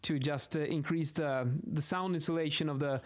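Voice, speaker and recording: Higher-voiced Swedish-accented man explaining. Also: fairly even intonation, normal speed. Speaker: video host, acoustics instructor. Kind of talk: informal explainer while sketching. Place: small indoor home office. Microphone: close boom-arm studio microphone.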